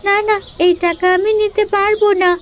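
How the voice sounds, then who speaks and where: A woman's voice: very high voice, flat delivery, moderate pace, a little hiss or hum. A woman voicing a sweet, earnest young village girl in a cartoon, eager and polite in a childlike way. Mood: surprised, earnest. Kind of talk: Bengali animated fairy-tale voice acting.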